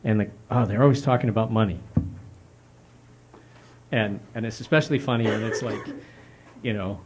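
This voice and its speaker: A man preaching a stewardship sermon as pastor, measured and plain-spoken, working through numbers aloud. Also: fairly low pitch, noisy background. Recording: music bed underneath.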